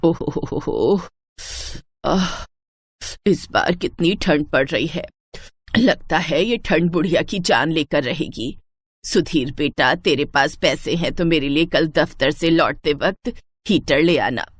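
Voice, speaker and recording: A woman doing a grumbling old mother-in-law, pitched down. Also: slow, breathy edge, dry recording, studio quality, exaggerated voice. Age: older.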